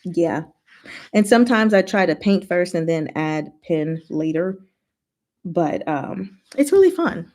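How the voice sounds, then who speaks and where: A woman hosting a casual, rambling livestream chat with viewers, talking in an expressive, playful way. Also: lowish voice, measured pace. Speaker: livestream host answering chat.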